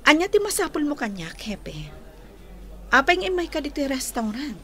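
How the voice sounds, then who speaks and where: High-voiced, guarded young lady. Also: very animated, normal speed, a bit bright. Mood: guarded, cool. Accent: Ilocano Filipino. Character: guarded young lady, wary and troubled over her beloved. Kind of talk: Filipino radio drama acting in Ilocano.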